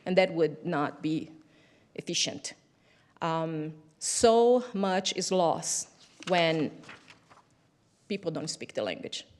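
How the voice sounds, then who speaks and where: A woman with a Brazilian accent, a native Portuguese speaker, giving a halting public comment as a teacher. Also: sits low, lively intonation, a little mellow. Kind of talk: public comment speech at a council meeting.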